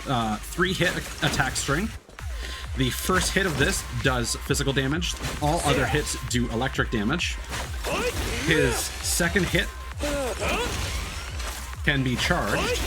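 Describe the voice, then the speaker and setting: A man giving casual live-stream gameplay commentary, explaining things conversationally and thinking aloud. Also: medium pitch. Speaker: video game streamer. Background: game music.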